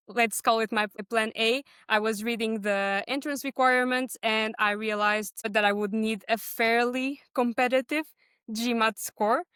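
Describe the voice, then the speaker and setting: Clear, high-voiced young Portuguese woman. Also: a bit bright, no echo, clean studio sound. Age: 20s. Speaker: podcast guest. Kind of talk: conversational personal account.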